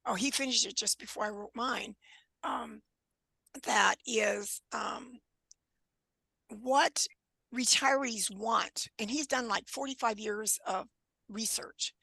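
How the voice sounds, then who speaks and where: Slow, bright-voiced woman in her 70s. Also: fairly high pitch, dry recording. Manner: unhurried, halting, frequent throat clearing. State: scratchy voice. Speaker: guest presenter on wellness. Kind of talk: informal presentation with discussion.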